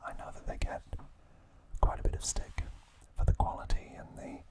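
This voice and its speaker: A man hosting an ASMR video, softly sharing trivia in a chatty, informal, unhurried way. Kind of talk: whispered ASMR trivia narration.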